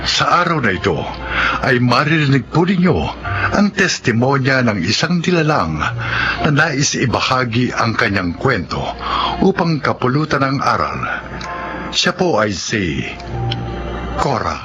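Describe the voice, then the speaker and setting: A man's voice: mid-range voice, sing-song, unhurried, pauses often, over music. A man announcing a radio drama in a scripted narration style.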